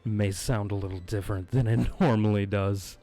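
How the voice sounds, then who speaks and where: A man streaming a video game, hoarse from losing his voice, chatting in a laid-back, rambling way with frequent chuckles, close on his microphone. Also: lowish voice, fairly even intonation, brisk, dark tone, faint music behind. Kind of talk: casual live-stream chat. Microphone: close desk microphone.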